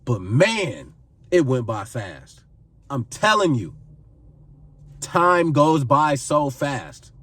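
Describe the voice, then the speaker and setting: A man's voice: medium pitch, big pitch swings, very slow, pauses often, fairly bright tone, breathy edge, recorded from a distance. A man in his twenties giving earnest advice to camera, pleading and repeating phrases for emphasis. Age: 20s. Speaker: vlogger giving life advice.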